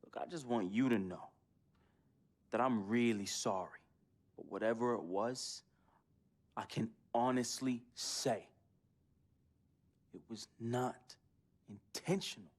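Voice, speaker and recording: An actor playing a basketball star's street-smart, laid-back friend in a video game drama, with slangy, casual delivery. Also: male voice, medium pitch, slow, pauses often. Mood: conciliatory.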